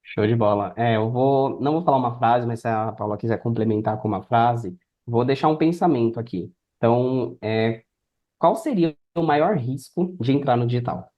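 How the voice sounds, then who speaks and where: A man's voice: mid-range voice, moderate pace, highs cut off. A Brazilian man speaking as a podcast guest, explaining things conversationally like a mentor.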